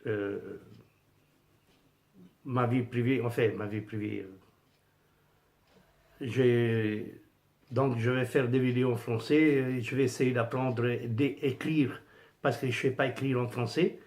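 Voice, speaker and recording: A middle-aged man with an Italian accent, speaking French as a second language, casually vlogging about his pin collection with many pauses and fillers. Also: medium pitch, fairly even intonation, darkish tone. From Italy. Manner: hesitant, many fillers and restarts, searching for words. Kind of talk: casual unscripted vlog.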